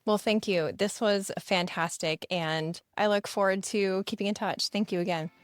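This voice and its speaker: A woman with a Midwestern accent hosting a podcast interview, asking measured, careful questions. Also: mid-range voice, fairly even intonation, smooth, no echo, clean studio sound, soft background music. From American Midwest. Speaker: podcast host.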